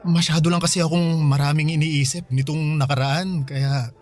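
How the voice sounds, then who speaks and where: Casting: male actor for dutiful, put-upon young Filipino guy, fairly high voice, apologetic, over soft music. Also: steady tone, normal speed, close to an everyday voice. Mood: hesitant. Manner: earnest, hesitant, apologetic. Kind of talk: Filipino radio drama acting. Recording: music behind the voice.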